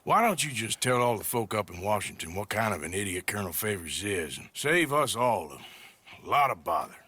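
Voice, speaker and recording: A weary Western outlaw for a game. He is plainspoken and sardonic, with a bright, hard edge and very mobile pitch, and a troubled conscience under it all. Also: very animated, brisk, slightly rough, dry recording, heavily acted. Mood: dry, wry. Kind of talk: dramatic video game acting.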